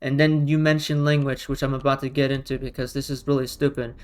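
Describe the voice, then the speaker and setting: A man's voice: medium pitch, monotone, fast talker, a little mellow, clear, resonant tone. A man, a non-native speaker whose first language is Romanian or Russian, delivering argumentative response commentary in an exasperated, combative way, leaning on emphatic rhetorical questions. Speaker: online video commentator.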